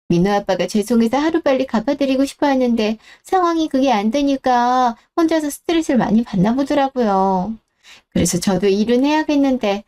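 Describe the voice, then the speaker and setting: A woman's voice: sits high, warm tone. A woman voicing a polite, deferential middle-aged daughter-in-law in a radio drama who speaks apologetically.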